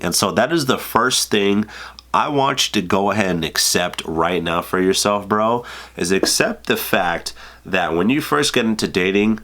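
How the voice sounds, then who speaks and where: A man's voice: on the low side, fairly bright tone. A man in his twenties, congested from a cold, giving casual dating advice in a loose, profane, filler-heavy way. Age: 20s. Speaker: vlogger. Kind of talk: casual advice vlog to camera.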